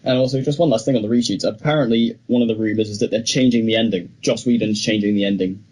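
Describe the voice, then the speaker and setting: A man's voice: fairly low pitch, steady tone, fast talker, a little mellow. A man co-hosting a podcast, chatting casually and giving his opinions emphatically. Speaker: podcast co-host. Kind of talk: casual film news chat.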